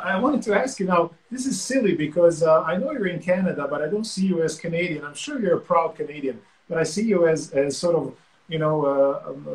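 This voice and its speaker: A man who runs wine tours chats informally and sociably. His voice is a touch higher than usual, and it rings with a roomy echo. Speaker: wine tour guide. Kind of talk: casual chat.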